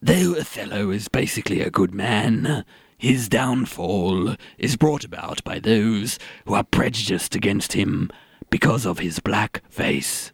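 A man's voice: on the low side, animated, measured pace, creaky, breathy edge, no room sound, studio quality, exaggerated voice. A man playing a self-righteous, oblivious and pompous theatre actor in a comedy mock interview. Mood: pontificating. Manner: pompous, chuckling, aggrieved.

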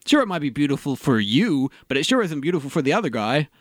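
Male game master, wry, cynical, animated pitch, comic monologue, dry recording. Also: quick pace, no echo. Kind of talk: comedic monologue and banter, audio drama.

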